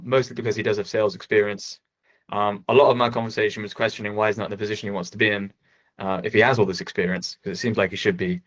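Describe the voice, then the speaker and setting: Guy giving context on a sales call, talks quick, likes and ums, dull audio. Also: lowish voice. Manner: quick, loose, with fillers. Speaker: sales student. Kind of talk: casual explanation.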